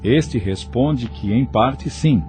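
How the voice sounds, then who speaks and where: A man's voice: medium pitch, takes it slowly, a little mellow, soft background music. A man reading scripted narration for an audio novel.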